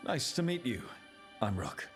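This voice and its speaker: An actor playing an earnest, determined fantasy hero in a video game, plain-spoken and purposeful. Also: male voice, medium pitch, sing-song, unhurried. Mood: questioning. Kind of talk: dramatic video game acting. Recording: music behind the voice.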